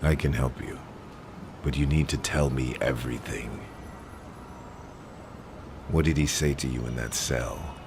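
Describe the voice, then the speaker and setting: An intimidating, distrustful vigilante in an audio roleplay. He growls in an extremely deep, gravelly voice, terse and commanding, with noisy ambience behind him. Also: steady tone, a little slow, long pauses. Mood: stern, urgent.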